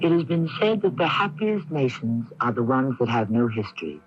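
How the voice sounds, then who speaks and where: A man narrating a radio drama as host, in a solemn, measured, scripted manner with dramatic pauses. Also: mid-range voice, expressive, over music. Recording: muffled 1980s AM radio tape.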